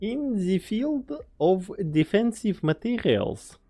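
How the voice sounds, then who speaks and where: Woman voicing a creaky, slow-talking old male scientist. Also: mid-range voice, big pitch swings, mellow, soft background music, exaggerated voice. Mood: sly, unhurried. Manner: slow, drawn-out, mock-courteous. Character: sly old male research director, courteous, evasive. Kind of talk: fan dub game story acting.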